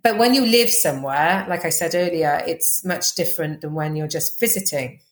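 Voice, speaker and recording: A woman with a Welsh accent, chatting quickly as a podcast guest, full of fillers and restarts. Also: low voice, reverberant. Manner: fast-talking, chatty, lots of fillers. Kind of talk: casual interview chat.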